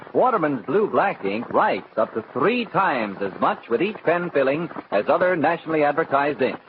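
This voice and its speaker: A man reading a vintage radio commercial as a folksy, salesman-like announcer. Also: a little high. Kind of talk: 1940s radio ad read. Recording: thin, phone-like old radio, noisy.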